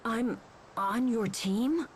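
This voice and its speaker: A woman voicing a polite, reserved, insecure young boy in a video game, soft-spoken and hesitant. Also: a little high, very slow, slight background noise. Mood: unsure, touched.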